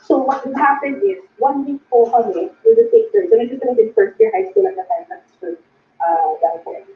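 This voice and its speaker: Filipina woman telling her story, high lively voice, echoey tinny far-off audio. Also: a little fast. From Philippines. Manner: code-switches Tagalog and Bisaya into English. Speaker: business recruiter sharing her story. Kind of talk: personal testimony presentation. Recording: echoey, distant, phone-like sound.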